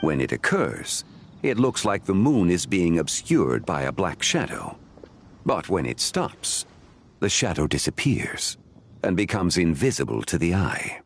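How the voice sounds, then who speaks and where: Brief: a formal, knowing elder scholar; deep and measured, over faint game music. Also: male voice, big pitch swings, breathy edge, heavily acted. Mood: calm. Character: calm ancient scholarly man, composed and knowing. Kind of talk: video game voice acting.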